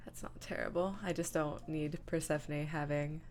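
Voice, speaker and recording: Low-pitched woman chatting casually on a livestream. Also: fairly even intonation, moderate pace. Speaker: livestream host. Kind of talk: casual livestream chat narrating image editing. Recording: soft music playing behind the voice. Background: lo-fi music, computer keyboard typing.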